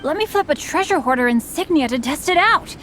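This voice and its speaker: A woman's voice: sits high, expressive, fairly quick, a bit bright, slight background noise. A woman voicing a luckless, earnest adventurer boy in a video game, with a boyish, apologetic yet hopeful manner. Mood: upbeat. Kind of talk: animated video game voice acting. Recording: game music behind voice. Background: game music.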